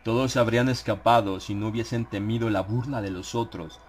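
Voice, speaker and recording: A man narrating a dramatized horror audiobook in a solemn, measured manner with suspenseful pauses. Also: fairly low pitch, steady tone. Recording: clear ambient sound effects behind the voice. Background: atmospheric horror sound effects.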